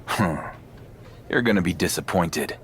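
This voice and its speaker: A man's voice: low-pitched, measured pace, slight background noise. A man acting as a determined, brooding champion wrestler in a game cutscene, terse and serious. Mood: cold defiant.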